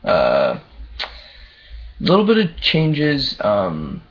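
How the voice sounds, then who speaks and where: A young man with a very low voice, talking candidly in a casual video diary, full of ums and uhs. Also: huge pitch swings, very slow. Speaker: vlogger. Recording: dull lo-fi camera audio, faint hum.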